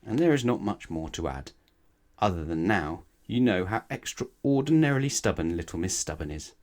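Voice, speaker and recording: A man reading a children's bedtime story aloud in an expressive storytelling style. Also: deep voice, moderate pace. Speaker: storybook reader.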